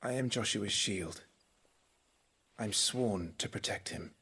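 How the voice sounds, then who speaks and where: An actor playing an earnest, dutiful teenage knight in a video game, with a husky, breathy voice. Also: male voice, fairly low pitch, takes it slowly, pushed and bright, exaggerated voice. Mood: earnest, subdued. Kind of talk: video game cinematic acting.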